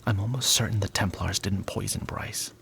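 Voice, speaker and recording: A man playing a gentle, grave, sorrowful captain in a fantasy audio drama, hushed and hesitant. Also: lowish voice, steady tone, moderate pace, creaky, slight background noise. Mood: hushed confiding. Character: sad, gentle, grave male captain called Professor. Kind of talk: audio fiction acting.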